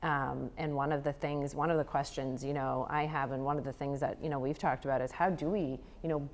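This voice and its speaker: A woman speaking as a poet on a panel, measured and full of "you know" fillers. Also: very deep voice, fairly even intonation, slight background noise. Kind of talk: panel discussion.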